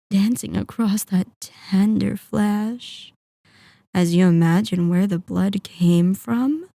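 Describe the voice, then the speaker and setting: A possessive female vampire lord, acted by a woman, speaks in a soft, mellow tone. She draws out her words slowly with mock courtesy and teasing menace. The ASMR roleplay sounds very close and dry. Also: medium pitch, creaky, dry recording. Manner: silky, teasing, mock-polite, drawn-out menacing delivery. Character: sadistic, possessive female vampire lord toying with captive hunter.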